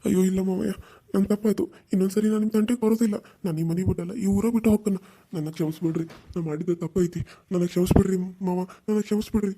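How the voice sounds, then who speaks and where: A man's voice: high-pitched, fairly even intonation, fast, hardly any pauses, dark tone, slightly rough. A man voicing a cowardly young man who begs for forgiveness in a whining North Karnataka accent. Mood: pleading. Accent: North Karnataka Kannada. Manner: whining, begging.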